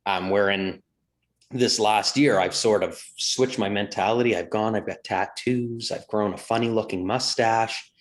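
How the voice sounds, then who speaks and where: A man with a somewhat low voice talks on a casual morning show. He speaks thoughtfully and hesitantly, circling back and restarting as he works through his ideas. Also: steady tone, a little slow. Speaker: co-host.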